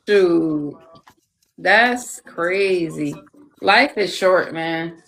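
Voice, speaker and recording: A woman's voice: lowish voice, fairly bright tone. Lady in her 40s just chatting real, laughs a lot, a little hiss in the back. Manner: candid, laughs often, slows to make a point. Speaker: podcast host. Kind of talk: casual chat.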